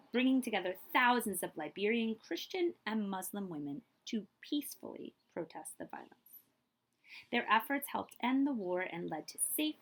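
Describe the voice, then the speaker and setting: Female read-aloud storyteller, highly animated pitch swings, emphatic delivery for a children's picture book. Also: breathy edge, low-quality recording. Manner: expressive, emphatic storytelling with brief asides. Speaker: librarian reading a book aloud.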